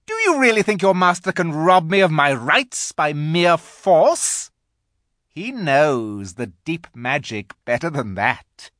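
A performer voicing a cruel, haughty witch queen in a storybook, shrill and declamatory with a snarling edge. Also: sing-song, takes it slowly, fairly bright tone, dry recording, clean studio sound. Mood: savage, threatening.

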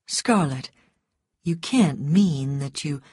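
A woman voicing a mocking, self-assured man in an audiobook story, blunt, deliberate and teasing. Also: deep voice, animated, mellow, husky, no room sound, studio quality, full character voice. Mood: quietly serious.

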